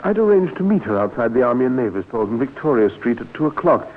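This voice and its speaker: Smooth, animated English gentleman, played by an actor. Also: mid-range voice, fast talker, lightly acted. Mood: concerned, polite. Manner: polite, hesitant, genteel. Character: courteous, smooth, outwardly concerned English gentleman chemist. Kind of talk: classic radio mystery acting. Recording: tinny, noisy old radio recording.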